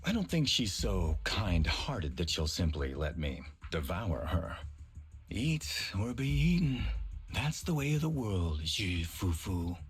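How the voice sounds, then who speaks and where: A ruthless tiger-man villain from a video game. His voice sits fairly low and moves with measured, stagey menace, philosophizing as he taunts. Light music plays underneath. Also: very animated, slightly rough, full character voice. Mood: cold, resigned. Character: cunning theatrical villain, tiger-folk man, ruthless showman. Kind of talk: dramatic video game voice acting.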